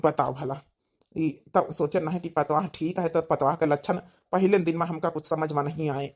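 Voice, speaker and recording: A woman's voice: sits low, steady tone, moderate pace. A woman voicing a hot-tempered young village husband in a comedy cartoon, scolding and grumbling, with an Awadhi accent.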